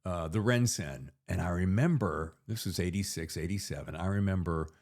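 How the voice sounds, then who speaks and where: A middle-aged man hosting a podcast in casual interview chat, telling anecdotes in an animated way and acting out dialogue. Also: low-pitched, moderate pace, no echo, studio quality. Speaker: podcast host.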